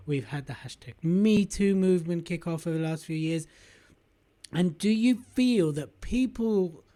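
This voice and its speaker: A man's voice: high-pitched, a little slow, mellow. A man hosting a podcast interview, asking probing questions and summarizing what his guest says. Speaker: podcast host.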